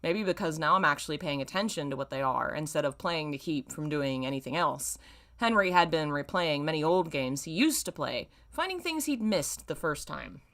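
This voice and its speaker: A woman reads a story aloud for her online audience in a bright, forward voice. Her pitch moves around a great deal, giving the narration a dramatic, lively shape. She slips between reading and casual, self-mocking commentary on the text. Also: normal speed. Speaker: YouTube host reading fan fiction aloud.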